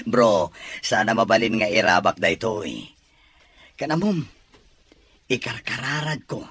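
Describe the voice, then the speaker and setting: A proud, earnest young man acted by a male performer in an Ilocano audio drama. His voice is very husky and breathy, with playful swings up and down in pitch. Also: animated, slow, a bit bright, full character voice. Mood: elated, earnest.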